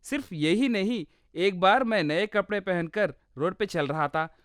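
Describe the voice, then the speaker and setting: A luckless, complaining village man from a comic storybook, played by a male actor. His voice is high, smooth and clear, in a very dry recording. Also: a little slow, no echo. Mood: self-pitying. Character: self-pitying unlucky village man and his easygoing friend.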